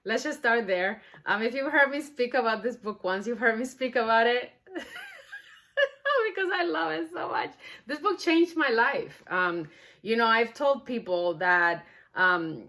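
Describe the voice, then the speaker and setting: A woman's voice: on the high side, very animated, measured pace, bright, forceful tone. A woman whose first language is not English, speaking earnestly and with feeling as the host of a book read-along. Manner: earnest, heartfelt. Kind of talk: warm personal introduction, then reading a novel aloud.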